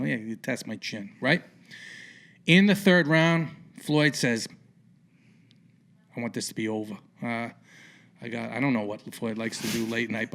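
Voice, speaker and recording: A man's voice: mid-range voice, creaky, fairly bright tone. A man hosting a sports podcast, asking rambling, opinionated questions in an informal interview style. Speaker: podcast host interviewing a guest.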